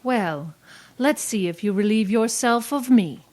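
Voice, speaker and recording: A haughty young woman of the old-time radio stage, acted by a woman. Her high voice rises and falls dramatically. She is imperious and quick to temper, with theatrical, clipped delivery. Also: expressive, a little mellow. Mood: coldly threatening. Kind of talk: old-time radio acting before a live audience.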